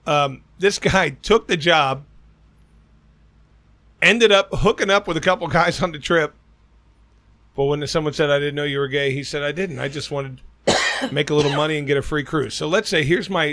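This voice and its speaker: A loud man with a Midwestern Cincinnati accent, spinning crude stories on a podcast. His voice sits a bit high, and he states his opinions flatly. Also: moderate pace. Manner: loud, storytelling, blunt opinions. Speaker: podcast co-host. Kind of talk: casual crude podcast banter.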